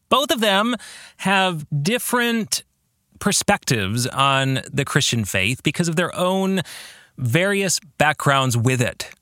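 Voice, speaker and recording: A man hosting a reflective solo podcast, speaking in deliberate, emphatic phrases. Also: fairly high pitch, bright, forceful tone, no room sound, clean studio sound. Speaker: podcast host and author.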